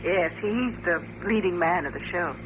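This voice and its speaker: An American actress playing a young chorus dancer who is easily enthralled, in a 1950s radio drama. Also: female voice, on the low side, moderate pace, lightly acted. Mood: chatty. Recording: noisy vintage radio broadcast recording.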